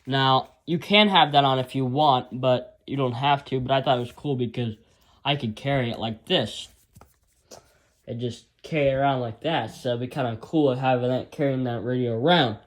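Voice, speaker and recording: An American man giving a casual, unscripted product demonstration as an amateur reviewer, rambling and halting in an informal way. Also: medium pitch. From United States.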